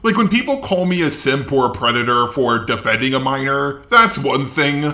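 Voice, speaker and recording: A man's voice: medium pitch, animated, moderate pace, bright, forceful tone, roomy sound. A man doing combative, profane online commentary with a sarcastic, exasperated way of talking. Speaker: YouTube commentator.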